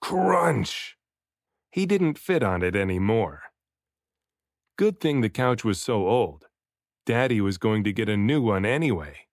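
Man, storybook read-aloud, mid-pitched, lively swooping intonation, expressive emphasis, dry close sound. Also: measured pace, pauses often, no room sound. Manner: expressive, varies delivery for emphasis. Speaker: children's book reader, dad and voice actor.

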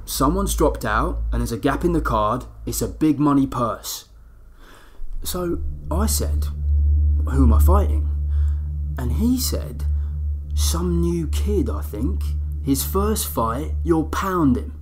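A man's voice: mid-range voice, very animated, slow, darkish tone, a little hiss or hum. Man playing a cocky bare-knuckle fighter. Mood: wry, reminiscing. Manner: slangy, matey, informal. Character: rough, cocky young male bare-knuckle fighter recounting his past. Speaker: actor in an audio drama. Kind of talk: chatty first-person dramatic storytelling.

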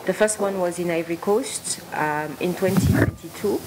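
A woman from West Africa, a gospel singer answering reporters' questions at a press conference, slow and thoughtful with many fillers. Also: fairly low pitch, background noise. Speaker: gospel singer hosting a concert. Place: press conference room, indoors. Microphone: table microphone.

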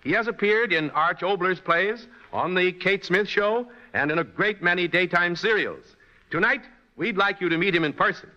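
Bright, high-voiced man hosting old radio. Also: moderate pace. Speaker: radio show host. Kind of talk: 1940s radio announcing, scripted narration. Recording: dull, noisy old radio recording, long reverb.

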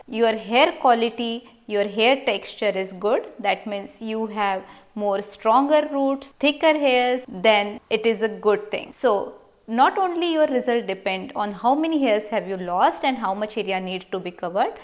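Female medical presenter, thirties, Indian accent, mid-pitched voice, methodical and instructive delivery to camera. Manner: measured, instructive, enumerating points. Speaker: doctor, cosmetic surgeon presenting. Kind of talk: explanatory talk to camera. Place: small clinic room, indoors.

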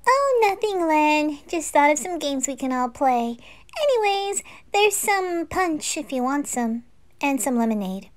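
High-voiced, excitable anime girl played by a woman, very animated, gushing and teasing. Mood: friendly, chirpy. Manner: gushing, giggly, drawn-out exclamations. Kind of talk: amateur anime audio roleplay.

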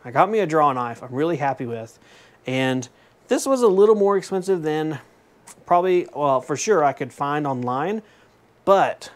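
A man in his thirties, chatty and enthusiastic, showing off tools in a small home woodworking shop, often correcting himself with jokey asides. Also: a little high. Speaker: woodworking vlogger. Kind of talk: casual show-and-tell vlog. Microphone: fixed camera at desk distance.

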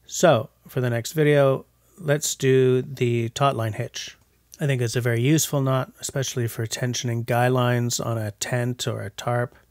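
A man's voice: mid-range voice, steady tone, moderate pace, darkish tone, dry recording, clean studio sound. A man in his forties with a jokey, self-mocking manner, narrating a casual vlog voice-over. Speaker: bushcraft vlogger. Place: voice-over, not recorded outdoors. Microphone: voice-over mic.

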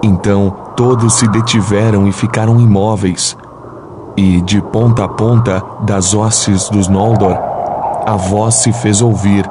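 A man reading a solemn literary narration from a script. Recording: clear background noise, ambient rumble.

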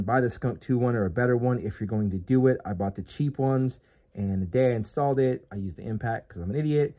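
A man's voice: on the low side, warm tone. Chatty self-mocking man in his garage. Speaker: car hobbyist vlogger. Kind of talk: casual garage build commentary. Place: home garage workshop. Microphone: phone camera held while working.

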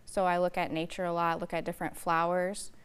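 A woman's voice: fairly low pitch, flat delivery, brisk, smooth. A local artist on a morning TV segment, a young American woman with a clean, resonant voice, explaining things casually. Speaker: interview guest, artist and art teacher. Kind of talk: casual interview answers. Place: TV news studio. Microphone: lapel mic.

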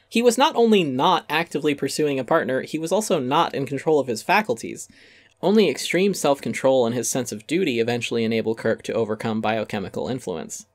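A man's voice: mid-range voice, dry recording, clean studio sound. A man giving a dramatic reading of a critical essay, wry and articulate with theatrical emphasis. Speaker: essay narrator.

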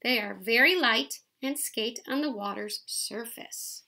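Woman reading a teacherly mom in a picture book, gravelly and bright. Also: a little high, unhurried, exaggerated voice. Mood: explaining. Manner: explaining, teacherly. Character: patient nature-loving mother reading a field guide.

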